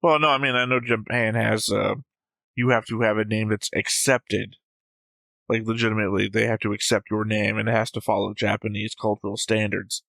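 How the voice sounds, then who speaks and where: A man's voice: fairly low pitch, level tone, fairly quick, vocal fry, a bit bright, no echo. A man chatting casually as a host of a reaction show. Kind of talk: casual chat.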